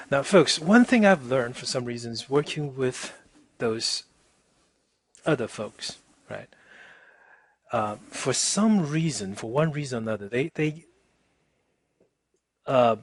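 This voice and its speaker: Husky male instructor, casual and chatty. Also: medium pitch, animated, slow pace, dry recording. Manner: informal, chatty, checks in with "okay" and "right". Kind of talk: casual software demonstration lesson.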